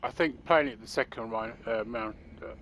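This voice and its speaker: A man's voice: mid-range voice, moderate pace, a bit bright. Hesitant male gaming commentator. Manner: hesitant, critical, with fillers and sighs. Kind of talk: casual game commentary.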